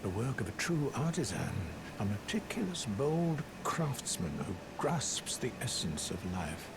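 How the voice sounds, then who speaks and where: An actor playing a cunning, scornful aging sage in a fantasy game, theatrical and musing, dropping at times into hushed phrases. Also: male voice, mid-range voice, sing-song, a little slow, vocal fry, background noise. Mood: intrigued, musing. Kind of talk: scripted fantasy game dialogue.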